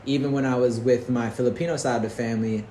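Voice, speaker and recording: A man's voice: medium pitch, steady tone, fast, warm tone. A man hosting a casual faith-filled conversation, talking in an earnest, rambling way with many restarts. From Detroit, United States. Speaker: podcast host. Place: roomy indoor space, recorded in Portugal. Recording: echoey room with faint background noise.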